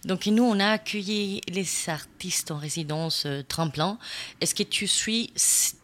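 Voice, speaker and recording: A woman's voice: lowish voice, measured pace, fairly bright tone. A woman hosting an informal radio interview, putting questions in a conversational way.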